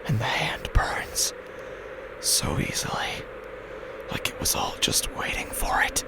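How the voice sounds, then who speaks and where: An actor playing a haunted, grieving, quietly unhinged husband who narrates a horror audio drama in halting fragments with a breathy, gravelly whisper. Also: male voice, lightly acted. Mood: strained, pained. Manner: halting, fragmented, drawn-out phrases.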